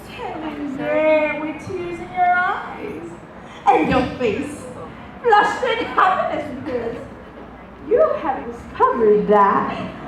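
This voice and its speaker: In a very echoey, noisy auditorium, heard from far off, a woman plays a self-assured, worldly actress in a stage play. The voice is very high and takes its time, grand and teasing. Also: animated. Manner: grand, deliberate, teasing, with dramatic pauses. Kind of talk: theatrical one-act stage play acting. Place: large auditorium stage, distant microphone.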